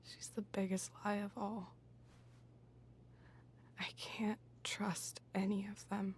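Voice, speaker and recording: A woman playing a disillusioned, hurt teenage girl in a video game, speaking softly and pensively, often close to a whisper. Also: medium pitch, level tone, slight background noise, close to an everyday voice. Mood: bitter, hurt. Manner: slow, halting, pensive delivery. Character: disillusioned, wounded teenage girl confiding in her friend. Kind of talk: naturalistic video game voice acting.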